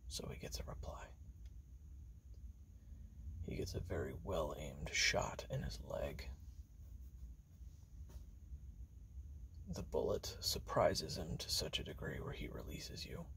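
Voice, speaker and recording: A man performs as a treacherous assassin telling his tale straight to the listener in an audio roleplay. His voice is extremely low and gravelly, often sinking into a whisper. The delivery is deliberate, close and hushed. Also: heavily acted, poor audio. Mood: grim, hushed. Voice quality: breathy whispering with gravelly fry. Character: treacherous male assassin recounting his betrayal to you. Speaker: roleplay narrator. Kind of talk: second-person audio roleplay narration, close and intimate.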